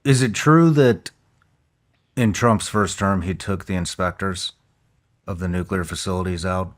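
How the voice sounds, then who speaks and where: A man hosting a podcast interview, asking short, relaxed questions. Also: deep voice, a little slow. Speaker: podcast host.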